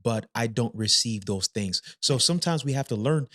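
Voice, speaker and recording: A man's voice: medium pitch, moderate pace, no echo, clean studio sound. A man in his thirties co-hosting a casual faith-based relationship podcast, talking energetically and driving his points home with repetition. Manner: energetic, emphatic, repeats points. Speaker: podcast co-host.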